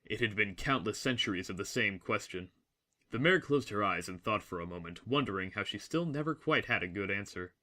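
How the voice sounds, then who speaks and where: A man's voice: on the low side, animated, fairly quick, bright, forceful tone. A man reading scripted, dramatic story narration as the narrator of an audio drama.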